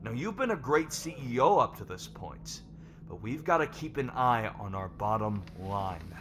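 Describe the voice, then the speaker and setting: A man playing a stern, businesslike lord on a company board, firm and measured, in an ASMR roleplay. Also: medium pitch, big pitch swings, a bit bright, slight background noise. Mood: grave, firm. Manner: measured, firm, matter-of-fact.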